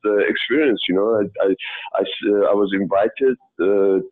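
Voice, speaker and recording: A man in his thirties who grew up in Sweden, talking casually as a guest, halting with many fillers and restarts. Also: fairly low pitch. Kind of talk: casual interview talk. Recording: tinny phone-like line.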